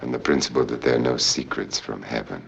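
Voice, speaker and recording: An actor playing a wry, world-weary adventurer for hire who drinks hard, speaking in a theatrical, sardonic and lyrical way in an old film. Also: male voice, a bass voice, fast talker, gravelly, a bit bright, full character voice. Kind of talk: old film acting with poetic first-person narration. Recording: muffled old film soundtrack, faint music.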